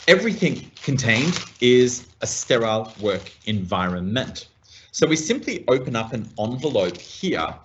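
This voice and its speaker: An Australian man, a medical practitioner, giving a measured product demonstration to colleagues. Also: mid-range voice, lo-fi sound, slight background noise.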